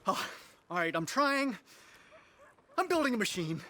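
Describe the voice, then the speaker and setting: An actor voicing an excitable, pleading eccentric inventor in a game cutscene, halting and flustered. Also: male voice, high voice, heavily acted. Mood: flustered, secretive. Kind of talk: video game cutscene acting.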